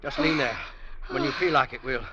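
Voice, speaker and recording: An actor playing a brave, gentle but weary climber in an old-time radio drama, speaking in a halting, earnest way. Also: male voice, medium pitch, steady tone, highs cut off, natural voice. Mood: gentle, relieved. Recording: noisy vintage radio recording with clicks.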